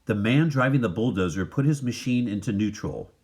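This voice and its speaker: A man reading a children's picture book aloud in an animated, dramatic manner. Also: on the low side, fairly quick. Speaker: story reader.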